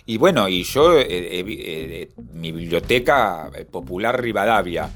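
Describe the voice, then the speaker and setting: A man's voice: low voice, animated, unhurried. A man, reflecting on his reading and libraries with many restarts. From Argentina. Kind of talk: personal interview testimony.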